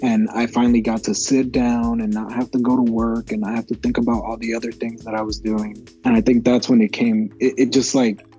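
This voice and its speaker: A man's voice: mid-range voice, flat delivery, brisk, hardly any pauses, warm tone, soft background music. A man in his twenties, an actor from Massachusetts, answering interview questions casually with many fillers and restarts. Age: 20s. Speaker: actor guest.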